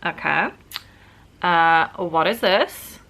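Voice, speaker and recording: A woman's voice: lowish voice, extremely slow, fairly bright tone. A woman vlogger, sick and wheezy with a bad cough, chatting casually to camera and poking fun at herself. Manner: chatty, self-mocking, many "like" fillers.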